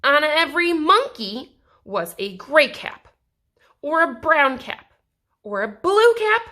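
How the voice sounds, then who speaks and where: A woman reading a children's story aloud expressively, with deliberate pauses and dramatic emphasis. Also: high-pitched, sing-song, bright, forceful tone. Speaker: story reader.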